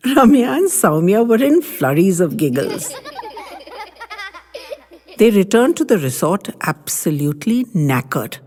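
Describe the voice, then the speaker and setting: A woman's voice: on the low side, warm tone. A woman voicing a grandchild who tells the family a story with teasing, bossy confidence. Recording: long hall reverb.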